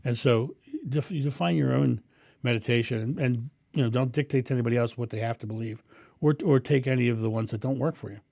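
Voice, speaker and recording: A man with a booming voice hosting a live stream, answering viewers' questions in a rambling, animated way and acting out thoughts. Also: quick pace, gravelly, no room sound, clean studio sound. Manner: animated, acts out inner thoughts with raised voice; rambling with frequent ums and restarts. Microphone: condenser mic through a mixing board.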